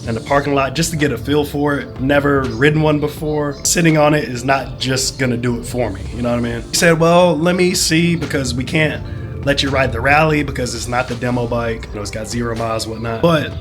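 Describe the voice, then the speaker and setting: A man, sick and a bit hoarse, telling a long rambling story in a casual vlog, full of "you know" and "whatnot". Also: medium pitch, fairly even intonation, fairly quick, over music. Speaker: motorcycle vlogger.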